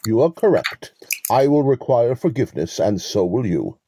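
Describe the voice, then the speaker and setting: A sly male swindler in a mystery audio play, acted by a man. His voice is very dark and husky, and it swings freely in pitch. He speaks with measured, formal condescension, as if always holding something back. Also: big pitch swings, dry recording. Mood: stern, pious. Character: wily, devout-acting male relic con artist.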